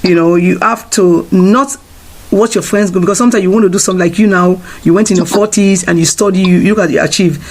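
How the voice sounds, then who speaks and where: A woman's voice: lowish voice, quick pace, a little mellow, low-quality recording. A woman presenting a radio interview, giving emphatic advice and often restarting her phrases. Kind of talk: casual radio interview chat.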